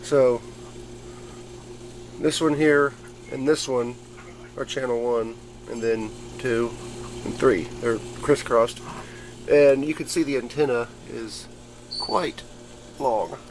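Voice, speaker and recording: A man's voice: mid-range voice, takes it slowly, pauses often. A man giving a casual, rambling show-and-tell of his gadgets, explaining things informally as a hobbyist. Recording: clearly noisy amateur camera audio.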